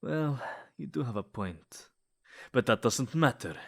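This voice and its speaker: A man's voice: medium pitch, expressive, measured pace, vocal fry. A man playing a smug, possessive, lovesick vampire in a comedic ASMR roleplay, theatrical and taunting one moment and sweet-talking the next. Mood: defensive, condescending.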